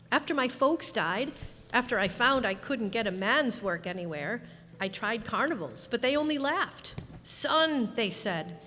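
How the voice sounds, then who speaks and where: A woman's voice: fairly high pitch, a bit bright, a little hiss or hum. Woman playing a wistful young boy, echoey hall. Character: eternally boyish Willie, wistful, polite young boy. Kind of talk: radio drama acting. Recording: echoey hall, music behind.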